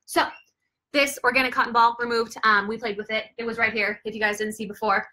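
A young woman with a bright, forceful voice pitched a little high, talking chattily to camera as she demonstrates baby gear. She sounds upbeat and loose, with plenty of fillers. It is recorded from a few feet away on a living room floor. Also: flat delivery, brisk. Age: young adult. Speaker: product reviewer and mother. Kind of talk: casual product demo to camera.

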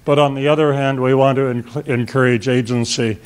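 A man's voice: medium pitch, steady tone, moderate pace, slight background noise. A man from Canada in a conference audience, asking a rambling, hedging question. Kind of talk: question from the floor.

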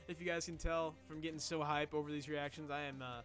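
A young man with a slightly hoarse voice chatting casually while reacting to music, recorded on a headset in a small bedroom. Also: fairly high pitch, level tone, fairly quick, a bit bright. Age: young adult. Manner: chatty, rambling, with restarts.

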